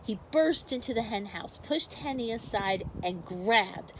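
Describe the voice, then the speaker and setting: A woman reads and talks to young children like a first grade teacher. Her pace is very unhurried and her delivery expressive, at a middle pitch. There is faint noise in the background. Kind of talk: children's story read-aloud with casual asides.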